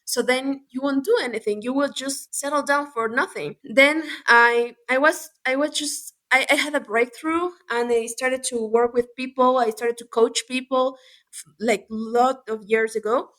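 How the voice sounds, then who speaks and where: Woman, bright fairly high voice, chatty guest, lots of restarts. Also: unhurried. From Galapagos Islands, Ecuador. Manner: lively, many restarts and fillers. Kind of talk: casual interview talk.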